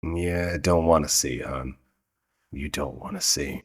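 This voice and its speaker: A man playing a gruff but encouraging bounty hunter and trainer in an audio drama. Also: a bass voice, unhurried, full character voice. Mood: solemn.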